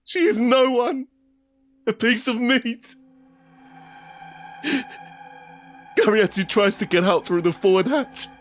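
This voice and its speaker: A man's voice: extremely high pitch, unhurried, faint music behind. Man acting an intense, haunted, desperate father. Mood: anguished grief. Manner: intense, emotionally raw, sweary. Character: desperate, anguished father surviving an apocalypse, haunted and guilt-ridden. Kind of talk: first-person dramatic audio narration with acted dialogue.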